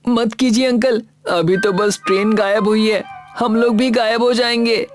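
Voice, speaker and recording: A woman's voice: on the high side, fairly even intonation, measured pace, natural voice. Woman playing a friendly child adventurer over music. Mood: worried. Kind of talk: animated children's story dub.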